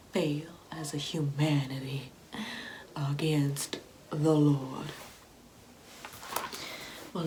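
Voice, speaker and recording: A woman playing a sanctimonious, Bible-quoting Karen mother in an ASMR comedy roleplay, condescending and preachy, often dropping into a breathy whisper. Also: low voice, noisy background. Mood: condescending, accusing, then smug, fussy.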